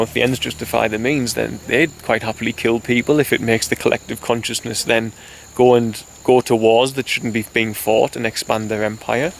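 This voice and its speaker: A man's voice: mid-range voice, slight background noise. Earnest man lecturing straight to camera. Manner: earnest, urgent, addresses listener directly as "you"; rambling, restarts mid-thought. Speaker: video blogger. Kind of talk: impassioned direct-to-camera monologue.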